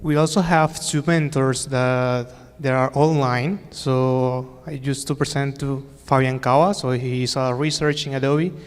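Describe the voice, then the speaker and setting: A man's voice: mid-range voice, steady tone, measured pace, few pauses, clean tone. A man moderating a conference panel, introducing guests and asking questions hesitantly, repeating words. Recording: reverberant hall.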